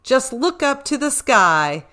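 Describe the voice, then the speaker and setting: A woman reading a rhyming children's story expressively, with a measured, singsong rhythm. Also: sits high, very animated, bright, forceful tone.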